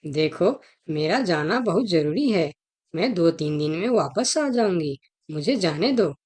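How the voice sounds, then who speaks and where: A woman plays a clever female fox in a children's cartoon. Her voice sits a little low but swings widely in pitch as she insists, persuades and pleads. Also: animated, measured pace, darkish tone. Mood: insistent. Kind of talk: Hindi children's cartoon dialogue.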